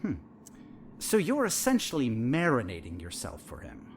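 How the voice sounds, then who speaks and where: A man playing a sinister, smug therapist in a horror audio drama, measured and theatrical, with a falsely soothing, condescending manner. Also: mid-range voice, wildly swooping pitch, a little hiss or hum.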